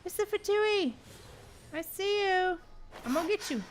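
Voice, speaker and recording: A woman's voice: very high voice, animated, measured pace, a little hiss or hum. A woman chatting casually as she streams a game, with a playful, exclaiming way of talking to viewers. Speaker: livestream host. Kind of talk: casual gameplay livestream chat.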